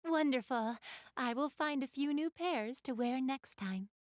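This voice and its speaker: A woman's voice: high voice, a little slow, no echo, studio quality. A woman playing an elegant, doting big-sister goddess in a video game, speaking in polite, formal, refined phrases straight to the listener. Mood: sweet, coy. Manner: polite, formal, refined diction, gushing over what she adores. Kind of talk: video game character acting, addressing the listener.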